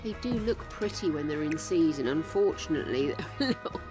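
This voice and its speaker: A woman's voice: low voice, lively intonation, brisk, rough recording. An older woman streaming a game, chatting casually and musing aloud to her viewers as she builds. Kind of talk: casual gameplay chat. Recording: game music behind the voice.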